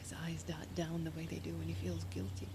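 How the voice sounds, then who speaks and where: A woman's voice: sits low, completely flat delivery, a little fast, noisy background, close to an everyday voice. An actress as a heartbroken, confessional young woman lover. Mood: hushed, wounded. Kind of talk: audio drama monologue and dialogue.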